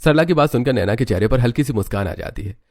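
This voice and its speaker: A man's voice: mid-range voice, expressive, quick pace. A man narrating a scripted family story as a third-person storyteller.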